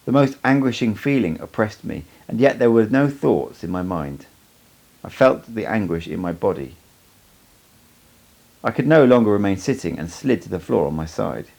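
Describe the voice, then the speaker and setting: A man's voice: on the low side, animated, moderate pace, creaky, a little mellow, low-quality recording. A man reading a first-person story aloud as scripted audiobook narration. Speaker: amateur audiobook reader.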